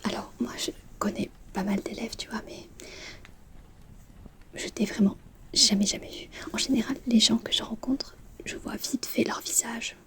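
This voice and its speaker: A woman plays a teenage wizard-school student in an ASMR roleplay. She whispers softly in a fairly high, breathy voice. She is friendly and chatty, a little distracted, with casual, hesitant slang. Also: steady tone, moderate pace, close to an everyday voice. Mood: chatty, curious.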